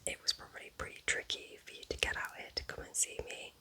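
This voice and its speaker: A woman playing a kind, cosy forest witch in a whispered ASMR roleplay, breathy, gentle and chatty. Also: fast. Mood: gentle, welcoming. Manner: gentle, soothing, chatty, affectionate.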